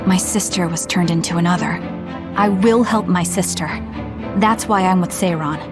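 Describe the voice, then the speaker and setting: Actress as a reserved young soldier heroine, lower register, blunt and level. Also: female voice, over music, natural voice. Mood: reflective. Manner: measured, blunt, matter-of-fact. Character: calm, blunt young woman, soldier and protagonist. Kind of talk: anime game cutscene acting.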